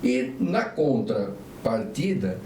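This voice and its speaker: A man's voice: mid-range voice, very animated, slow, a little mellow. A man hosting a casual podcast interview, chatty and leaning on tag questions, asking his guests about themselves. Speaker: podcast host. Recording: echoey room, mic at a distance, faint noise.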